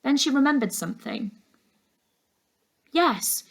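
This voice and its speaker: Woman teacher reading a kids book out loud, expressive narration, mid-range voice. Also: mid-range voice, normal speed. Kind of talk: expressive children's storybook narration.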